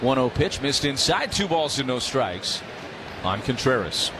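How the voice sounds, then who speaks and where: A man's voice: medium pitch, very animated, a little slow, background noise. A man doing live radio play-by-play commentary as a baseball announcer.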